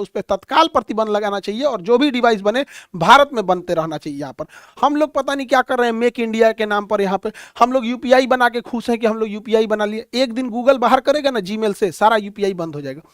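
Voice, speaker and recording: Male coaching instructor, Bihari accent, high-pitched, informal and mocking classroom delivery, dry recording without room echo. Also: a little fast, hardly any pauses. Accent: Bihari Hindi. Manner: colloquial, blunt, mocking, rhetorical questions. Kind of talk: informal classroom lecture with comic asides.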